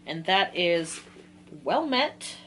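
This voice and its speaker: A woman's voice: lowish voice, sing-song, drawn-out words, a bit bright, a little hiss or hum. A woman in her twenties talking casually and informally to viewers as a book vlogger, giggly and often interrupting herself. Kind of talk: casual chatty book list talk to viewers.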